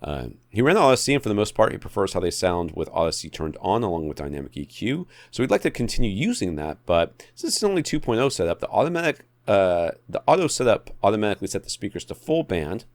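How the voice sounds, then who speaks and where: A man's voice: fairly low pitch, very animated, quick pace, few pauses, dry recording. A man co-hosting a podcast, giving opinionated tech advice in a blunt, exasperated, emphatic way that repeats points for effect. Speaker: podcast co-host.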